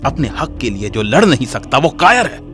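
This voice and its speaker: A defiant young student. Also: male voice, mid-range voice, sing-song, moderate pace, a bit bright, soft background music. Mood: scornful, insistent. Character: defiant, hot-headed young male student, wounded and bitter. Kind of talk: radio drama acting.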